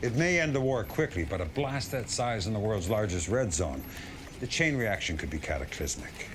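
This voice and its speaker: A low-voiced, gruff military general from a video game cutscene. He briefs his commander with sweeping, animated intonation and plain, emphatic words. Clear noise sits behind him. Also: moderate pace. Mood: grave, earnest.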